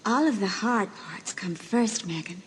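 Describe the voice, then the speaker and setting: A woman voicing a teenage girl's ghost in a mirror, soft, hushed and gently pleading. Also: medium pitch, animated, a little slow, slight background noise, natural voice. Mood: coaxing. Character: faint ghostly teenage girl spirit in a mirror.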